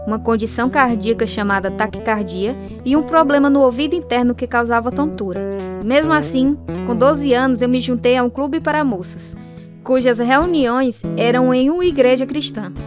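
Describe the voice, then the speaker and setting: Actress as higher-voiced woman telling her story. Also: moderate pace, over music, close to an everyday voice. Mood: reflective. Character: woman recounting her troubled youth, also as earnest teenager. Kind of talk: scripted dramatized narration.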